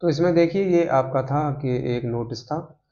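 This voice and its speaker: A man's voice: a little high, normal speed, mellow. A man informally explaining updates to viewers off the cuff, rambling a little and often checking in with short tags. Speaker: YouTube host giving job exam updates. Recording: dull lo-fi microphone sound.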